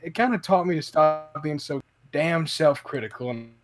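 A man, a voice actor, chatting casually and earnestly in a halting, self-effacing way. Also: a little high, loud music behind the voice. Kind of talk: casual personal anecdote.

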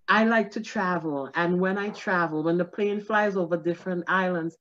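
Middle-aged woman, lowish voice, animated storyteller, dull lo-fi video call sound. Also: moderate pace. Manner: expressive, gestures a lot, laughs often. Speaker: comedian and guest. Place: home room with ceiling fan. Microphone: video call webcam.

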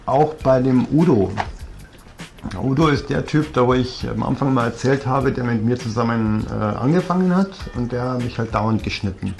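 A man's voice: mid-range voice, moderate pace, creaky, darkish tone, faint music behind. A man in his forties with a Bavarian accent, telling a personal story bluntly and reflectively, with many fillers, filmed at close range on a sofa at home. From southern Germany. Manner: blunt, rambling, frequent fillers. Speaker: vlogger. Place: living room, seated on a leather sofa. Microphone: fixed camera at close range.

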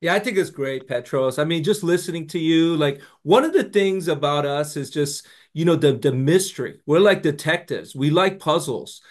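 Middle-aged male host, high-pitched, casual and enthusiastic, on a desk mic. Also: lively intonation, normal speed, hardly any pauses. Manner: casual and enthusiastic, says "you know" and "like" often. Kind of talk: interview hosting. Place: home office, video call. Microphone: desk microphone.

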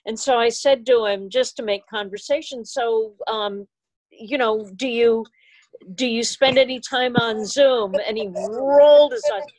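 A woman's voice: fairly high pitch, a little slow, darkish tone, no echo, low-quality recording. An older woman chatting casually on a video call as she helps run an online meeting. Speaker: meeting organizer.

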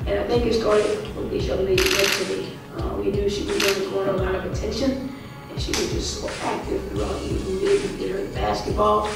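Gravelly-voiced woman coach in an echoey press room. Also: medium pitch, flat delivery, far from the mic, loud music behind the voice. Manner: measured, frequent "uh" fillers. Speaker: head coach. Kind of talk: post-game press conference. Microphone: table mic.

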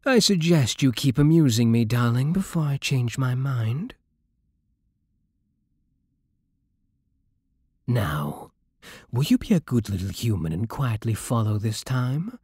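Breathy, possessive British vampire king, acted by a man. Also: medium pitch, sing-song, dry recording, studio quality. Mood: coolly threatening. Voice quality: breathy, soft. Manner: slow, menacing, haughty purring. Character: possessive, regal male vampire king, condescending and seductive. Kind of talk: ASMR roleplay.

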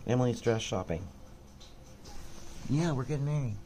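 A man's voice: animated, moderate pace. A man talking casually in a personal vlog. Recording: very noisy handheld recording, clicks and rumble.

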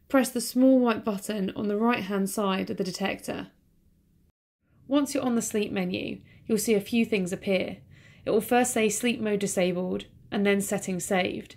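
A woman reading a scripted voice-over that gives slow, careful, step-by-step instructions for setting up equipment. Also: mid-range voice, gravelly, a little mellow. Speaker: project presenter.

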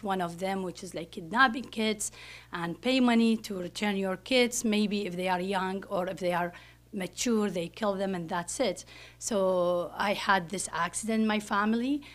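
A woman in her forties from Iraq, speaking English, giving a personal speech at a podium in a school classroom, often pausing to search for words. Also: mid-range voice. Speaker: parent speaker. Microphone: podium microphone.

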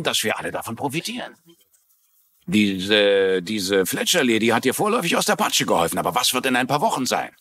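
An actor playing a pushy, irritable, money-minded schemer in a German TV drama dub. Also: male voice, lowish voice, a bit bright, full character voice. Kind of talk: German TV dubbing.

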